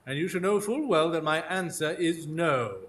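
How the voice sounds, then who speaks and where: A man acts out a clever, haughty detective for a radio drama. His delivery is precise and formal, pitched fairly high, with very animated swings of intonation. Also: moderate pace, a little hiss or hum. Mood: dismissive. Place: small theatre stage, recorded live in front of an audience.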